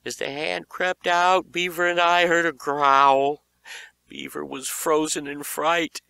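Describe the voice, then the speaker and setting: Guy narrating kids horror book, theatrical n suspenseful, bright forceful voice, takes his time. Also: on the high side, level tone, slow, gravelly, clear, resonant tone, dry recording. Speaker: audiobook narrator. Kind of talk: dramatic scripted narration of children's horror fiction.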